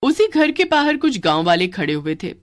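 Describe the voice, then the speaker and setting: Female narrator for a kids' cartoon ghost story, lively delivery. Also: mid-range voice, normal speed.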